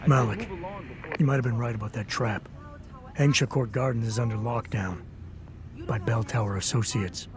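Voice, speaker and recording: A man playing a brooding, sardonic security operative in a video game, with a gravelly rasp and terse, deadpan delivery. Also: medium pitch, moderate pace, long pauses, a crowd in the background, natural voice. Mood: businesslike, grim. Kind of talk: cinematic video game acting. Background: loud background music.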